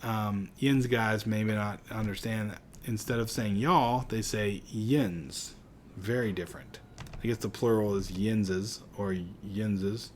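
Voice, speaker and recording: A man's voice: on the low side, fairly even intonation, slow pace, slight background noise. A man from Pittsburgh with a Pittsburgh accent, teaching a recorded lecture in a chatty, conversational way with casual asides.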